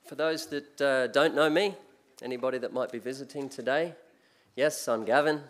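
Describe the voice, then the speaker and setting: An older Australian man preaching at a church pulpit into a mic. His delivery is relaxed and conversational, full of small restarts and fillers. His pitch sits in the middle range. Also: moderate pace. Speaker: guest preacher. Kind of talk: sermon. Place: church sanctuary, speaking at a pulpit.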